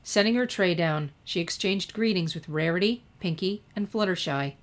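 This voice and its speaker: Woman reading fan fiction out loud, lowish voice, storybook narration. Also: moderate pace.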